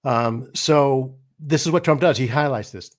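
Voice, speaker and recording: A middle-aged man hosting a podcast, throaty and hoarse from a cold, giving political commentary in a halting way. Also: mid-range voice. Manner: halting, with many restarts and fillers. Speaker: podcast host.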